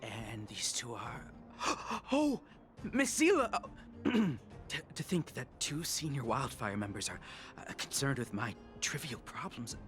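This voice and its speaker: A man's voice: medium pitch, animated, a little slow, fairly bright tone, soft background music. A man voicing a nervous, earnest young amateur mechanic in a video game, stammering and hesitant. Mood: flustered.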